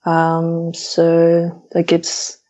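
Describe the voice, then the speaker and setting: A woman, an English speaker, talks in German at a slow pace and stops often to search for words. Her voice sits fairly low. The recording sounds slightly dull. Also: completely flat delivery, mellow. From Australia. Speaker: guest paramedic. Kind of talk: casual interview.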